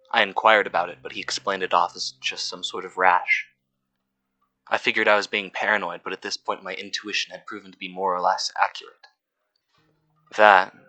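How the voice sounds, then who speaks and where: A man playing a weary, uneasy cave worker who narrates his audio log in a somber, measured, scripted horror-podcast style. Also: low-pitched, pushed and bright. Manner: somber, measured, literary storytelling. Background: instrumental music.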